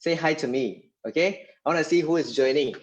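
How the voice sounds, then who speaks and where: A man's voice: on the high side, a little fast. A man with a Malaysian accent hosting a casual live stream, chatting and code-switching, repeating himself as he waits for viewers.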